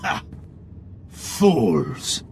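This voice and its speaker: An actor playing a menacing half-machine dark lord in a video game, threatening and contemptuous, with a rattling, gravelly voice. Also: male voice, drawn-out words, a little hiss or hum. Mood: angry contempt. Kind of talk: video game dramatic acting.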